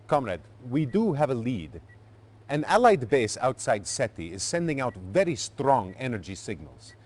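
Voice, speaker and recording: Animated, stern Soviet military adviser. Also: male voice, mid-range voice, normal speed. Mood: grave, reporting. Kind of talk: video game cutscene acting.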